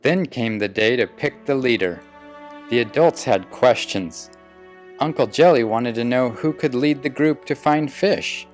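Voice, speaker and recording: A man voicing a proud, loyal young boy pelican in an earnest, expressive children's picture book read-aloud. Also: medium pitch, a little slow, natural voice. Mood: fond. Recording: music behind the voice.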